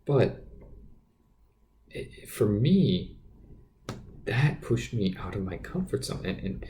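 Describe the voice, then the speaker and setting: A man with a naturally quiet voice telling a personal story off the cuff as a podcast host, hesitant and often repeating his words. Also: on the low side, sing-song, takes it slowly, slight background noise. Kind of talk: unscripted personal storytelling monologue.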